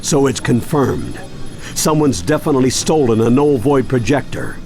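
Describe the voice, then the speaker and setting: Game mentor: steady older adventurer grandfather, middle register, commanding cartoon delivery. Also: medium pitch, normal speed, faint music behind. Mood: grave explaining. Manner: earnest, authoritative. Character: seasoned, steady older male monster-fighting grandfather. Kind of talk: video game cartoon acting.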